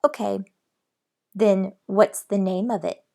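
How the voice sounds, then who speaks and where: A woman's voice: mid-range voice, fairly even intonation, slow, creaky, mellow. A woman voicing a mean schoolboy who taunts in a sing-song way. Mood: taunting. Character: mean teasing schoolboy on a bus.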